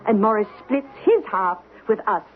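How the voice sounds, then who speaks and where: An actress playing a scheming, confident woman accomplice, calm and soothing with formal phrasing, in old radio drama style. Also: medium pitch, normal speed, slight background noise, lightly acted. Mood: reassuring. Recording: muffled old radio recording with music.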